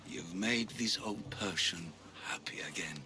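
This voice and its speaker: A man playing a gentle, wistful old blind Persian beggar in a video game. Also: low-pitched, a little slow. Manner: storytelling, courteous, wistful. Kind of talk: video game cutscene acting.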